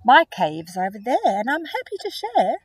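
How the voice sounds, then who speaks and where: Storybook brown bear, male, hungry and blunt; a woman puts him on, raised pitch, breathy. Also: wildly swooping pitch, moderate pace, no room sound, far from the mic, exaggerated voice. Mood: friendly.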